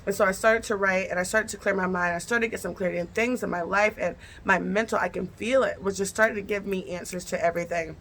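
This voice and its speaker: Woman vlogger, bright tone, casual first-person storyteller who talks directly to her audience. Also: mid-range voice, fairly even intonation, fast, few pauses, a little hiss or hum. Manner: chatty, repeats phrases, addresses viewers directly.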